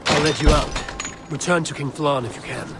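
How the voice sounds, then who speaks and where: A man's voice: a little high, fairly even intonation, a little fast, very noisy, lightly acted. A man voicing a terse Viking warrior. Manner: terse and direct. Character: steady male Viking warrior hero.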